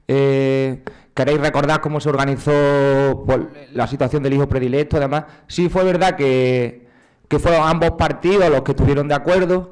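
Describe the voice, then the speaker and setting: A man's voice: mid-range voice, a little mellow, clean tone. A man moderating a public tribute event, joking casually and handing over to speakers.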